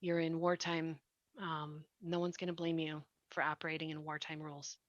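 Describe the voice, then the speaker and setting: A woman with a deep voice offers reflective, reassuring advice in an earnest manner. The recording is very dry and slightly muffled. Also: little pitch movement, moderate pace, dry recording. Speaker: podcast panelist.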